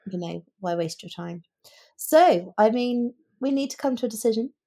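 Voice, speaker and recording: A woman's voice: fairly high pitch, lively intonation, moderate pace, creaky, warm tone. A woman with a British accent co-hosting a podcast, discussing books in an opinionated, conversational way. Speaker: podcast co-host. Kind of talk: casual book discussion.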